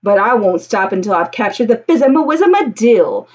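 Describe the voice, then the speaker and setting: A woman's voice: medium pitch, sing-song, brisk, a little mellow, slightly rough, highs cut off. A woman in her thirties reading a children's picture book aloud expressively, with a singsong, rhythmic delivery. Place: small indoor room with bookshelves.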